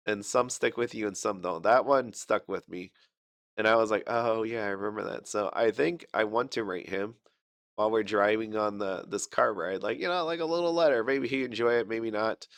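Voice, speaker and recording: A young man with a lowish voice talks loosely over a game, full of fillers, restarts and self-deprecating asides. He's sick and keeps holding back a cough. The sound is dry and close. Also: fairly bright tone, no echo. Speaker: gaming channel host. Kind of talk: casual gameplay commentary.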